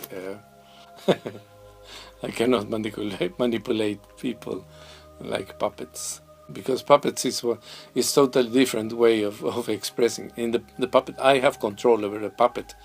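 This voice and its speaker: A middle-aged man from Peru, answering interview questions in a thoughtful, halting way, with many pauses and restarts, seated in his mask and puppet workshop. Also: lowish voice, vocal fry. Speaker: theatre director and mask maker. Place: workshop full of masks and puppets, indoors.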